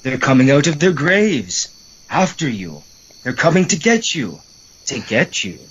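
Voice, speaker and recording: A man's voice: medium pitch, sing-song, a little slow, breathy edge, muffled recording. Man acting a sarcastic brother, swooping intonation. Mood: teasing, mock spooky. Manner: sarcastic, complaining. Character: teasing, grumbling adult brother, sarcastic and irreverent. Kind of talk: audio drama acting.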